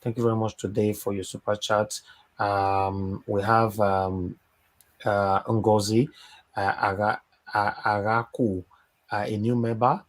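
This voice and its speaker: A man with a fairly low voice hosts a live online panel. He moderates the speakers and thanks viewers by name, one after another. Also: flat delivery, a little slow. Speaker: host.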